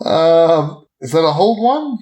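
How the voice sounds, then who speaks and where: A man with a fairly high-pitched voice plays a tabletop game, talking casually through his moves. The room around him is noticeably echoey. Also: sing-song, slow, a little mellow.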